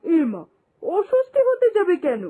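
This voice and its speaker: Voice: very high-pitched, huge pitch swings, moderate pace, phone-quality sound, full character voice. A performer voicing a weary, easygoing office-going husband in a moral-story cartoon, homely and plain in manner. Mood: content.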